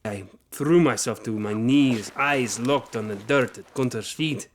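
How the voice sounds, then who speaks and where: An actor playing a weathered knight who recounts his childhood in formal, measured phrases, in audio drama. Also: medium pitch, slight background noise, close to an everyday voice. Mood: reflective.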